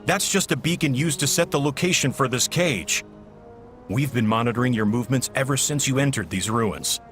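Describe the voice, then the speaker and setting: A calculating villain in command acted by a man with a mid-pitched male voice. He is measured, smug and condescending, in video game style, with faint music behind him. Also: close to an everyday voice.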